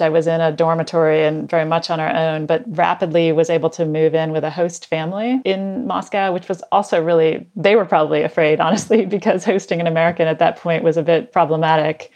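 A woman in her fifties, a podcast guest telling her story in a measured, articulate way. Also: on the low side, steady tone, darkish tone. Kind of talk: conversational interview.

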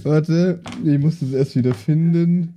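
A man's voice: on the high side, steady tone, measured pace, mellow, lo-fi sound. A man chatting casually as a player in a tabletop game.

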